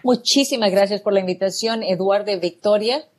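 A woman's voice: medium pitch, brisk. A woman from El Salvador, a business owner answering interview questions in an earnest, grateful way. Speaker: guest, real estate business owner.

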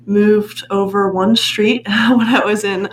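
A young woman in her late teens leads a relaxed podcast chat in a library room. Her words ring off the walls, and the mic sits back from her. A faint hum runs underneath. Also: mid-range voice, slow pace, echoey room, recorded from a distance. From Pennsylvania, USA. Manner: chatty, laughs between questions. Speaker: student podcast host. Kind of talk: casual podcast interview.

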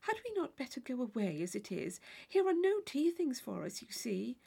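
A woman voicing a polite, uneasy young gentlewoman in an audiobook, speaking in a hushed, earnest, genteel way. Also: sits high, sing-song, normal speed, vocal fry, husky, voice pushed up, full character voice. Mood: discomfited.

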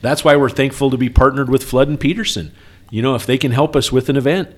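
A man's voice: mid-range voice, fast. A man co-hosting a trucking company podcast, chatting casually in an earnest, explanatory way and often checking for agreement. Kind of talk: casual workplace talk with anecdotes.

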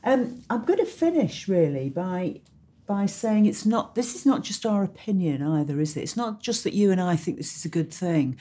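Low, husky-voiced woman. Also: very animated, moderate pace, warm tone. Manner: enthusiastic, uses tag questions. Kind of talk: conversational discussion.

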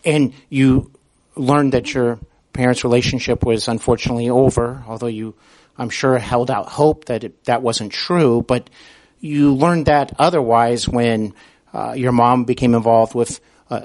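Guy podcast host, average pitch, agreeable, kinda hesitant phrasing, interviewing and recapping. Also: darkish tone. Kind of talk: interviewing, gently recapping the guest's story.

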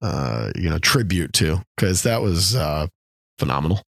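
A man co-hosting a podcast in casual chat, rambling with restarts and fillers, his voice a little gravelly after being sick. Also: low voice, big pitch swings, unhurried, no room sound, studio quality. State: slightly gravelly after illness. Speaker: podcast co-host.

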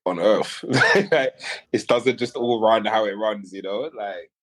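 A man's voice: lowish voice, unhurried. A man speaking casually as an interview guest, slangy and exclamatory, voicing his own thoughts aloud. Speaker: interview guest, music artist. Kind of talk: casual chat.

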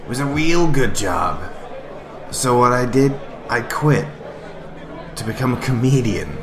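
An actor playing a timid, tipsy failed comedian in an audio drama, hesitant, self-pitying and rambling. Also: male voice, mid-range voice, lively intonation, unhurried, a crowd in the background. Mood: anxious, self-pitying.

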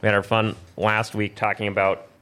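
A man's voice: fairly low pitch, monotone, fairly quick, pushed and bright, clear, resonant tone. A man hosting a gaming show, chatting casually and encouraging the others as they play. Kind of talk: casual chatty banter while playing video games.

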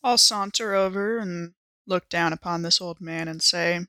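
A woman's voice: fairly low pitch, moderate pace, sharp tone, dry recording. A woman chatting casually and wryly as a player in a tabletop role-playing game.

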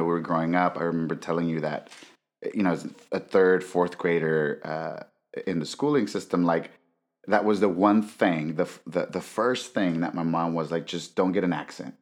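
Man, very deep voice, young adult, casual podcast chat, playful teasing. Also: fairly even intonation, normal speed. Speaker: podcast co-host. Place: Inglewood, California.

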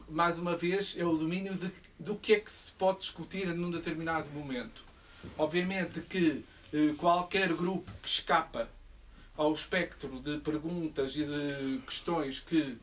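A man speaking spontaneously about politics at a public discussion, in formal wording with hesitant, halting phrasing. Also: fairly high pitch. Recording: distant room microphone, faint background noise.

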